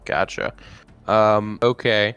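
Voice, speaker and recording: Clear, slightly low-voiced man, hesitant. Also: takes it slowly, fairly bright tone, muffled recording, faint music behind. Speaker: tabletop game player. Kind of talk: casual game chat.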